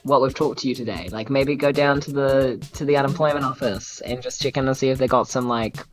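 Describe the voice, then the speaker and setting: Kiwi player chatting in a tabletop game, jokey, mid pitch, faint music under. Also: steady tone, fairly quick. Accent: New Zealand. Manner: playful, joking. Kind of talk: casual improvised role-play chat.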